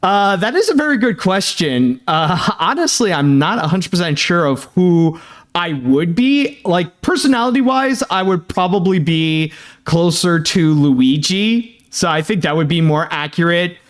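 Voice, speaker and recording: A young adult man hosting a casual video, reading fan mail in a halting, earnest way full of fillers. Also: high-pitched, sing-song. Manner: halting, filler-heavy, many "uh" and "like"; earnest, thanking and sympathetic. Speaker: host.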